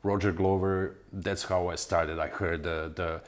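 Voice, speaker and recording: Very deep-voiced Serbian-accented man. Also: flat delivery. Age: 40s. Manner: halting, many fillers and restarts. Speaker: guest musician. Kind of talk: interview answers.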